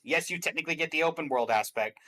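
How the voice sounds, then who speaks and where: Pria mengobrol santai soal gim. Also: male voice, a little high, fast talker, sharp tone. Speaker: guest commentator on game awards stream. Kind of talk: casual chat, opinionated game commentary.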